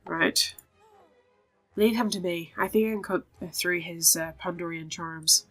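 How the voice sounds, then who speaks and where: A woman's voice: lowish voice, steady tone, measured pace, sharp tone, faint music behind. A woman YouTuber playing through a game, reading the dialogue aloud and commenting as she goes.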